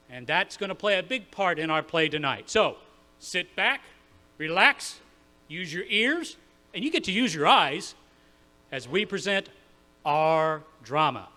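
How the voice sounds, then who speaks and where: A man playing a chatty, folksy 1930s radio host warming up a studio audience. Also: high-pitched, big pitch swings, measured pace, big-room sound, exaggerated voice.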